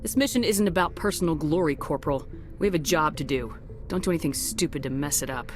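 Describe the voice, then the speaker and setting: A woman's voice: deep voice, fast talker, natural voice. A woman acting a tough, no-nonsense marine commander in a video game, curt and authoritative. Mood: stern. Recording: music behind the voice. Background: music.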